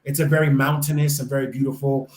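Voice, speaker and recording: A man's voice: mid-range voice, flat delivery, fast talker, a little hiss or hum. An American man in his young adult years, chatting enthusiastically as an interview guest, with lots of fillers and restarts. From United States. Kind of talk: casual interview chat. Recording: echoey room.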